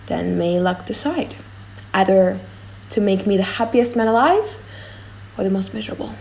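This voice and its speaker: Woman playing a proud, soft-spoken Moorish prince. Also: mid-range voice, animated, normal speed, gravelly. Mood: resolute. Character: proud, ardent Moorish prince, boastful suitor. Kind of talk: dramatic audiobook acting.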